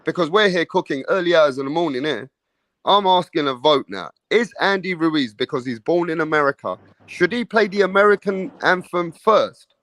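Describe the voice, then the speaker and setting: A man's voice: a little high, animated, normal speed, a bit bright, no room sound. A man hosting a casual boxing livestream, swearing freely and pushing the viewers to vote. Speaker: livestream host.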